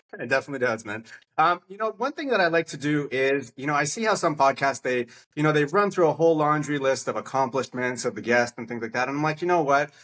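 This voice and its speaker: A man's voice: medium pitch, lively intonation, quick pace, fairly bright tone. A middle-aged man hosting a podcast, friendly and chummy, laughing often. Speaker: podcast host. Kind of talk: casual interview talk. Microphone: podcast mic.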